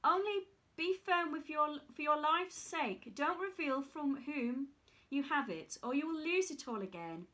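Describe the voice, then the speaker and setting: A woman's voice: high-pitched, expressive, unhurried, sharp tone, exaggerated voice. A woman voicing a magical talking golden fish while reading a fairy tale aloud. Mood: solemn. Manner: storybook read-aloud, precise.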